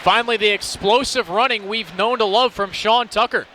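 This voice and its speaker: A man's voice: high-pitched, moderate pace, sharp tone, a little hiss or hum. A young man giving live radio football analysis, explaining and recapping plays in descriptive phrases. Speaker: color analyst.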